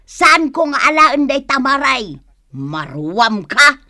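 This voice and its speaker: A woman's voice: high-pitched, sing-song, takes it slowly, pushed and bright, no echo, studio quality, exaggerated voice. A woman acting an indignant, exclaiming mother and shopper in a comic radio drama. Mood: indignant, scolding. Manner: exclaiming, confrontational. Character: quarrelsome female customer and mother, indignant, scolding.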